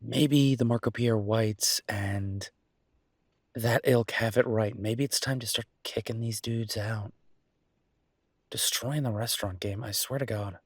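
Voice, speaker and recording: A man playing an affectionate, slightly grumbling chef boyfriend in an intimate audio roleplay, with a husky voice and a casual, teasing, halting way of talking. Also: on the low side, steady tone, no room sound, studio quality.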